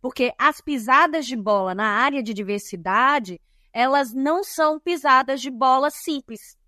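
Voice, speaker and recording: Bahian woman guest, high pitch, dry close sound, emphatic explainer. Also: normal speed, bright, forceful tone, no room sound. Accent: Bahian Brazilian Portuguese. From Bahia, Brazil. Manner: emphatic and didactic, lists points. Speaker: guest, diversity and compliance specialist. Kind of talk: podcast interview, explanatory.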